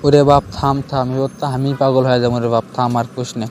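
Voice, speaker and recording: A man's voice: mid-range voice, flat delivery, fast. A man with a Bogura accent from northern Bangladesh, hosting a comic cartoon channel with informal banter. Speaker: cartoon channel host playing the questioner. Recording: noisy recording with clear background noise.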